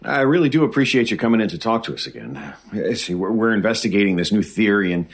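A man's voice: fairly low pitch, lively intonation, quick pace, slightly rough. A man playing an earnest government researcher in an audio drama, hesitant, fumbling and apologetic in a natural style. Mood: sheepish, eager.

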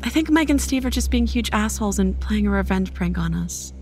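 A woman as an expressive, assertive young woman. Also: mid-range voice, brisk, a little hiss or hum, lightly acted. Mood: exasperated, protective. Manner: direct, expressive. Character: assertive, protective young woman in a seance group. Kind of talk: audio horror drama acting.

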